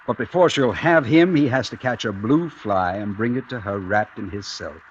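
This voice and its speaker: An actor playing a conflicted lover being drawn into murder, earnest, wavering and protesting, in vintage radio drama. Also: male voice, on the low side, normal speed, close to an everyday voice. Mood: bitter. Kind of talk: 1940s-50s radio drama acting. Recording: dull, noisy old radio recording.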